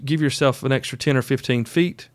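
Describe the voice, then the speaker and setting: A man's voice: medium pitch, brisk, no echo. A man hosting a podcast, giving casual instructional talk in a folksy, plainspoken, conversational way. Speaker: podcast host.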